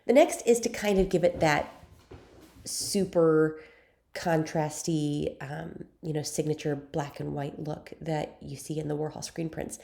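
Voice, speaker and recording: A young woman hosts an editing tutorial, her voice raspy and stuffed up from a cold. She speaks in a low, dark tone with a gravelly edge. Her delivery is chatty and self-deprecating, with plenty of ums between steps. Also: measured pace. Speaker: photography tutorial host. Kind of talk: screen-recorded software tutorial.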